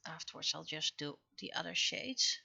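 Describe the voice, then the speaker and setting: A woman chatting casually on a livestream as she works, thinking aloud in a halting way and talking half to herself. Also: sits low, animated, gravelly, sharp tone, slightly rough, dry recording. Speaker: livestreaming webcomic artist. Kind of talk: casual livestream chat while working.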